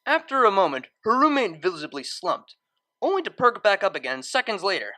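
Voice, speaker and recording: A woman reading a story aloud as narrator, in expressive scripted narration. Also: mid-range voice, fairly quick.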